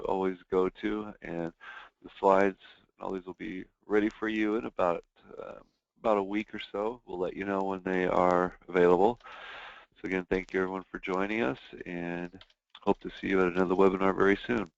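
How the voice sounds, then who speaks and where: Low gravelly male moderator, brisk, dull dry webinar line. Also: no room sound. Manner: brisk, routine thanks. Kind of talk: hosting webinar wrap-up.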